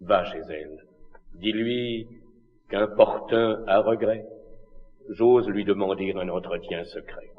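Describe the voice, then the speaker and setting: A man's voice: on the low side, takes it slowly. Anguished lovelorn king in echoing hall. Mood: stately. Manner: declamatory, solemn classical French verse delivery. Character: lovelorn Eastern king, noble, anguished, hopelessly devoted. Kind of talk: classical tragedy stage acting. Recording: muffled archive recording, long hall reverb.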